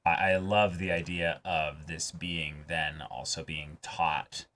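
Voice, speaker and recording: A man's voice: low voice, fairly even intonation, slow pace, fairly bright tone. A man in a casual analytical discussion as a show co-host, piecing his thoughts together in hesitant fragments. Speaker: co-host of an anime reaction show.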